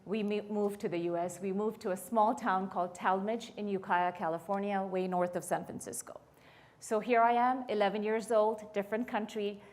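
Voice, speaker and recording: A woman in her fifties, from Bangladesh, telling her life story to an audience as a guest speaker and asking them questions along the way. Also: medium pitch. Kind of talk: personal story told to an audience.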